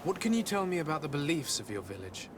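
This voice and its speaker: An actor playing a polite, measured investigating envoy in a fantasy video game, formal and composed. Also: male voice, fairly high pitch, big pitch swings, noisy background. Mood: polite, inquiring.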